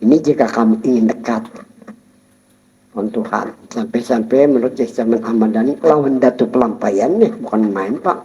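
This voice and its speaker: A man giving a Sufi study-circle lecture, explaining calmly and chuckling while he addresses his listeners. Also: medium pitch, moderate pace. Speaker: religious teacher. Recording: dull lo-fi recording.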